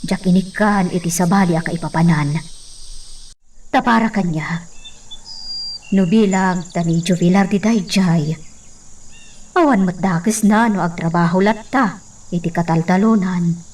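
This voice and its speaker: A woman acts as an earnest household servant in a radio drama. Her voice is on the low side and she speaks with deference, carefully laying out what she knows. The recording sits away from the mic, with insects behind. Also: a little slow, long pauses, darkish tone, far from the mic, slight background noise, natural voice. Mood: earnest, confiding. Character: respectful woman servant of a mansion, earnest confidante. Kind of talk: Ilocano radio drama acting.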